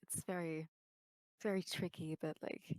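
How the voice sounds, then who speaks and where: A woman streamer answering interview questions in a soft-spoken, laid-back way. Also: fairly low pitch, fairly even intonation, a little slow, no echo.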